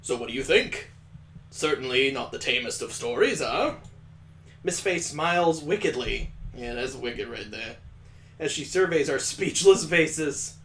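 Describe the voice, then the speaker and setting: A man's voice: medium pitch, animated, unhurried, a bit bright, slight background noise. A man playing a story game, reading its text aloud and breaking in with wry, mocking asides. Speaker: let's play host.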